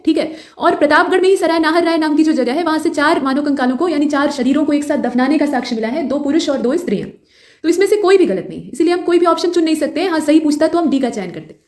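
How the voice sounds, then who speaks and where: A woman's voice: high voice, darkish tone. A woman teaching exam questions in an explanatory, instructional manner, mixing in English terms, with a roomy echo. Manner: instructional, explanatory, repeats points in English and Hindi. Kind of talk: quiz lesson with explanations.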